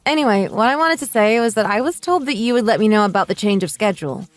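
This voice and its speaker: A woman's voice: medium pitch, expressive, fast, dry recording, soft background music, close to an everyday voice. A woman playing a sensible, put-upon mother in her late thirties in a radio drama, polite but firm. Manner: polite, reasonable, mildly exasperated.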